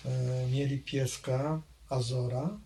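A middle-aged man from Poland, slow and reflective, recalling his childhood in an oral history interview with many pauses. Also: medium pitch, steady tone, vocal fry, noisy background. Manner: hesitant, reflective, trailing off.

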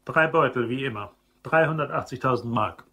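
An actor playing a fussy, impatient store manager in a German crime radio drama. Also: male voice, medium pitch, a little fast, bright, forceful tone, breathy edge. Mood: irritated.

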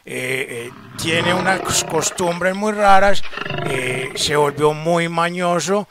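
A Colombian man making a comedic prank call, smooth-talking and full of pet names. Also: a little high, takes it slowly. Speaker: prank caller, show host. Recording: very noisy phone-call recording.

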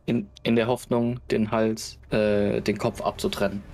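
Slow-paced man playing a tabletop game. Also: lowish voice, flat delivery, a little mellow. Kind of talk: casual game talk. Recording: music under the voice. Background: background music.

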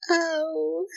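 A woman's voice: high voice, creaky, darkish tone, heavily acted. A woman playing a shy, obsessive, lovesick yandere schoolgirl in an audio roleplay, cooing and giggling breathily as she draws out her phrases.

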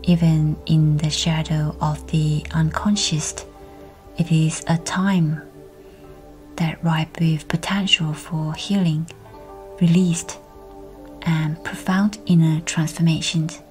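Female meditation guide: low, hushed and breathy, very even delivery, faint music underneath. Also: takes it slowly.

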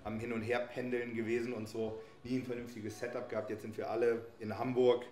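A man's voice: medium pitch, level tone, a little fast, darkish tone. A man, chatting casually and colloquially as he gives a gym tour. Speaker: gym owner giving a tour. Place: indoor gym rooms. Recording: echoey hall-like rooms, music underneath. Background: music.

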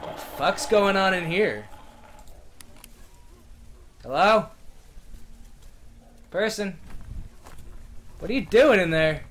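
A man playing a horror game and commenting on it, loud, excitable and sarcastic, swearing freely and reading the game's lines aloud. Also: sits high, slow pace, pauses often, a little hiss or hum. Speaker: game streamer. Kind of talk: let's play commentary.

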